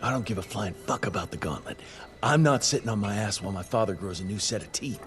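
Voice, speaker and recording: A man's voice: fairly low pitch, fast talker, a bit bright, natural voice. An actor playing a tough young male hunter who is curt, hot-headed and swears. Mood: tense. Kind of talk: game cutscene acting. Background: music score.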